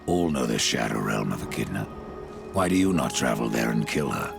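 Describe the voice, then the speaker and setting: A man playing a grim, sardonic reaper horseman in a video game, very deep and gravelly, with dry, terse delivery. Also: very animated, brisk, music in the background, heavily acted. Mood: sardonic, curt. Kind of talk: video game cutscene acting.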